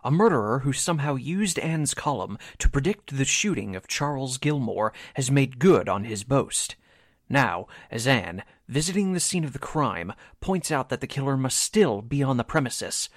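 A man's voice: medium pitch, dry recording, clean studio sound. A man narrating an old-time radio mystery as its announcer, in a scripted style.

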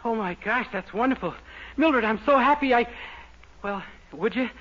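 A young man starring in a radio comedy, with boyish, goofy, excitable delivery. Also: extremely high pitch, moderate pace. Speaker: star of a radio sitcom. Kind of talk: 1940s radio comedy acting. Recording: dull old radio recording with hiss.

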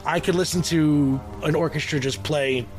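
A man's voice: on the high side, fairly even intonation, moderate pace, over music. A man co-hosting a casual podcast, talking in an enthusiastic, rambling way and often trailing off mid-thought. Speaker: podcast co-host.

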